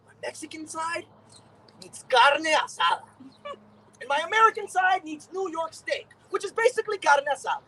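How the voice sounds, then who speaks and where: An actor doing a wry comic monologue. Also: male voice, very high-pitched, very animated, normal speed, pushed and bright, distant mic, a faint crowd, slight background noise, exaggerated voice, low-quality recording. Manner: comic stand-up delivery. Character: joking man torn between two cultures.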